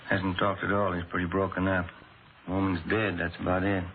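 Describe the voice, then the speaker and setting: A man playing a low-key, considerate police detective in a vintage radio drama, plain and colloquial. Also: little pitch movement, brisk. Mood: calm, considerate. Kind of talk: 1950s radio drama acting. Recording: very noisy narrow-band vintage radio.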